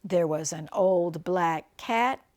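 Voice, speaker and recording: An older woman reading a picture book aloud to children like a grandmother, phrasing things deliberately and chatting about the pictures. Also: on the low side, a little mellow. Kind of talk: read-aloud storytelling with chatty asides and animal sounds.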